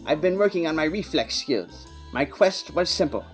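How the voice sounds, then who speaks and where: An actor playing a quirky, earnest detective who narrates an audio play in matter-of-fact, nerdy game jargon. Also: male voice, a little high, expressive, moderate pace, soft background music, lightly acted. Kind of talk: scripted first-person audio play narration.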